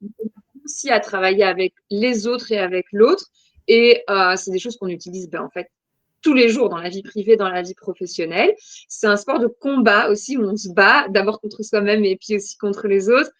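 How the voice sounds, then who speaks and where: A woman from Belgium in her forties answers interview questions, pausing often and filling gaps with soft hesitations. Her voice sits at a middle pitch, and the recording is dry and close, with no room sound. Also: dry recording. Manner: hesitant, many "euh" fillers, thoughtful. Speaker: guest.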